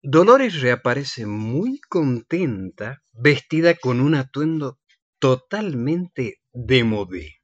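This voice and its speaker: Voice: medium pitch, big pitch swings, slow pace, no echo. A narrator reading the scripted stage directions of a radio play, on a dull, dry recording.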